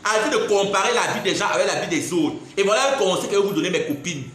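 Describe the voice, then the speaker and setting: A man's voice: sits high, lively intonation, quick pace, a bit bright. A man in his twenties with a Cameroonian French accent, ranting in a live video monologue, indignant and mocking, laughing between his jabs. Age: 20s. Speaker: social media personality. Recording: very echoey room.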